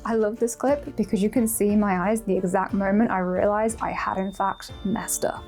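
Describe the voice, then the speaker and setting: A woman's voice: mid-range voice, fairly even intonation, moderate pace, loud music behind the voice. A woman chatting informally and self-deprecatingly as she narrates a craft vlog about her own making. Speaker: costume-making vlogger.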